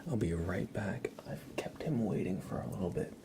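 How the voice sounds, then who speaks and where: Whispering male ASMR streamer, low and creaky. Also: normal speed. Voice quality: breathy whisper. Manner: soft-spoken, reassuring. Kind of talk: ASMR, casual chat with stream viewers.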